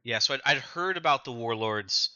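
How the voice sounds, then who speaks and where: A man co-hosting a podcast, recapping a story in casual, informal chat with an animated way of telling it. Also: mid-range voice, moderate pace, no echo, lo-fi sound. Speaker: podcast co-host.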